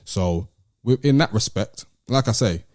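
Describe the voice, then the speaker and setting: A man's voice: mid-range voice, animated, a little slow, darkish tone, dry recording, studio quality. A British man in a casual podcast discussion, explaining his view in a careful, hedging way. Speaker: podcast host.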